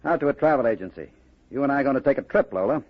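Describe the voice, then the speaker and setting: An actor playing a ruthless gangster boss in a 1950s radio drama, mocking, commanding and coolly sinister. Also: male voice, medium pitch, lively intonation, very fast, no echo, natural voice. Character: ruthless, taunting gangster boss with smooth cruelty. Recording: dull vintage radio recording.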